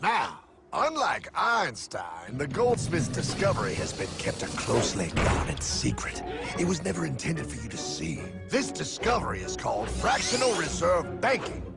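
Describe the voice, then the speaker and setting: Brash, animated cartoon guide, swooping pitch. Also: male voice, moderate pace, gravelly, exaggerated voice. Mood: grave, dramatic. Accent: American. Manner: dramatic, cocky, preachy, calls listener pal. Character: brash, theatrical cartoon guide, a fast-talking streetwise mentor. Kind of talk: animated explainer cartoon acting. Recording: music bed behind the voice.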